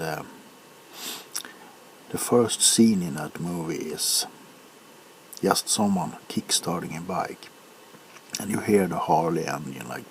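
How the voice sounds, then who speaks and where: Very low, slow soft-spoken ASMR man. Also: vocal fry, poor audio. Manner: hesitant, unhurried, drifting into whispers. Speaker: ASMR video host. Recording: faint background noise.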